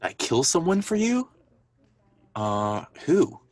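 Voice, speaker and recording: A man's voice: fairly high pitch, very animated, slow, dry recording. A young man playing an exasperated, evasive boyfriend in a contemporary radio drama, defensive and bantering. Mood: wry. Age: young adult. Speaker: student voice actor.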